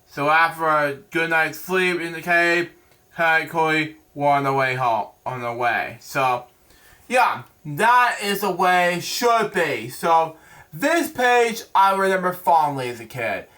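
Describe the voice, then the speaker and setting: A man hosts a storybook read-aloud in a bright, forceful voice pitched a little high. He stumbles over words and restarts often. His delivery rambles between reading and casual chat. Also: expressive, takes it slowly.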